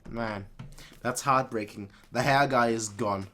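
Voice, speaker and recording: A man hosting a reaction video, commenting casually and excitedly, often repeating phrases for emphasis. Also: medium pitch, a little slow, crowd noise.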